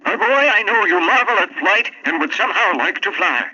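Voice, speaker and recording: A high, husky old wizard tutor, pompous and kindly by turns. His delivery swoops theatrically, as in a children's storybook drama. The 1960s recording is dull and lo-fi. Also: expressive, brisk, pushed and bright, full character voice. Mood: instructive.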